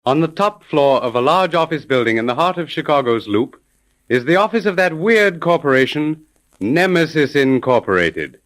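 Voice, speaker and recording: A man's voice: fairly high pitch, expressive, moderate pace, a bit bright. A man narrating a 1930s radio mystery as its announcer, in a formal, dramatic manner. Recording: vintage 1930s radio recording.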